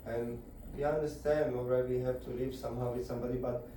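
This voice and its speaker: A man giving a devotional scripture lecture to a group indoors, halting and searching for words as he explains. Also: mid-range voice, fairly even intonation, mellow, slight background noise. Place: indoor gathering room. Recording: very echoey room, voice far off.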